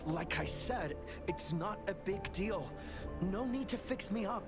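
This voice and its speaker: A woman acts as a young wounded soldier from a video game, stubborn and full of bravado. The words come out halting and short of breath, in protest. Music plays clearly behind the voice. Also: mid-range voice, lively intonation, close to an everyday voice. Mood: stubborn, defiant. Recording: background music over game dialogue.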